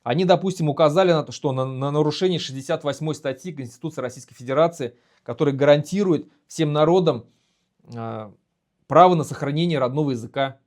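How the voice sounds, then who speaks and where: A man from Bashkortostan, a native Bashkir speaker, hosting a commentary video in an earnest, indignant way, halting often with fillers. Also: fairly high pitch, a little mellow. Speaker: video host and activist. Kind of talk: solo commentary to camera.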